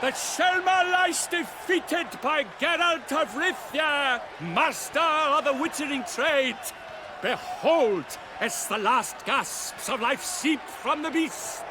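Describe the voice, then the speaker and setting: An actor playing a bombastic arena herald in a fantasy game, proclaiming grandly and theatrically to a crowd. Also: male voice, very high-pitched, slow pace, background noise. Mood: grandly dramatic. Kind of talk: fantasy game announcer acting.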